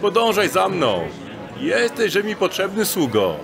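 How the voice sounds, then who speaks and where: A man playing a confident thief posing as a gentleman in a fantasy audio drama, with pompous, archaic phrasing. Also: high-pitched, big pitch swings, a little slow, creaky, crowd noise, exaggerated voice. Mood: jovial, lordly.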